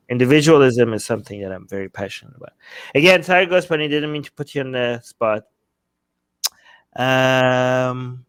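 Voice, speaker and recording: A man's voice: mid-range voice, no room sound. A man co-hosting a live discussion, giving opinions emphatically, repeating himself and checking for agreement. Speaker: talk show co-host.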